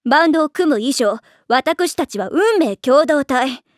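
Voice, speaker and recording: A prim, pompous schoolgirl of the refined young-lady type in an anime. Her voice is high and swings widely in pitch. She is strict and sharp-tongued, in a dry recording. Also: animated, a little slow, no echo. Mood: stern, scornful. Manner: prim, pompous ojou-sama diction. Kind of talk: anime voice acting.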